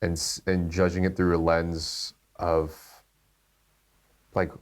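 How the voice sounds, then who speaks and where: A man's voice: deep voice, level tone, slow pace. A man from California with an American accent, a podcast guest talking casually and hesitantly, with many fillers. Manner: meandering, hesitant, full of fillers. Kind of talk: casual conversation.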